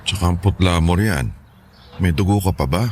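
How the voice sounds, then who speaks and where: A man's voice: low voice, normal speed, darkish tone, a little hiss or hum, close to an everyday voice. An actor playing a protective middle-aged Filipino father in a radio drama, dryly teasing and strict.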